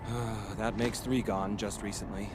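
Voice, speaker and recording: An actor playing a fed-up, wary patrol guard in a video game, exasperated and questioning. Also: male voice, lowish voice, little pitch movement, a little slow, over music, lightly acted.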